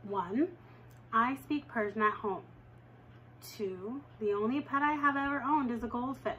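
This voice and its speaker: Bright-voiced woman reading a novel aloud. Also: highs cut off, a little hiss or hum. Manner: expressive reading with quick jumps in pitch for dialogue. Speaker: reader of a children's novel. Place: living room at home, indoors.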